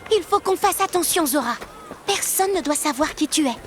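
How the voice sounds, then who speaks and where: Sensible young cartoon girl, super high voice, earnest worrier, loud score behind. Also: animated, normal speed, music in the background. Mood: wary. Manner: earnest, fretful. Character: cautious, sensible, caring young female companion. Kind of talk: French animated series voice acting.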